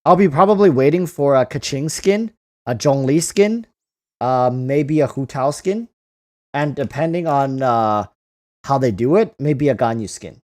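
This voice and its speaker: A man's voice: medium pitch, lively intonation, measured pace, darkish tone. A man hosting a casual livestream, reading out and answering viewer comments, often repeating phrases as he thinks aloud. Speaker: livestream host.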